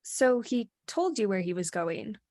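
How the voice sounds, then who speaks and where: A woman's voice: mid-range voice, very animated, a little slow, darkish tone, no echo, studio quality. Actress as a matter-of-fact female detective. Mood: probing, neutral. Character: probing, matter-of-fact detective questioning witnesses. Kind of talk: radio play interrogation.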